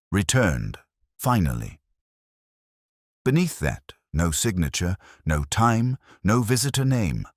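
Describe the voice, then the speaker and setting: A man narrating a first-person detective story in a measured, literary, brooding manner with a husky voice. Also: very deep voice, no room sound, studio quality.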